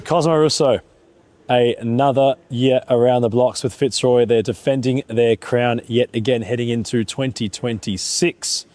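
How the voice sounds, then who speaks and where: A man's voice: mid-range voice, steady tone, measured pace, a little mellow, slight background noise. A man hosting sports interviews, asking questions in formal, polished presenter phrasing.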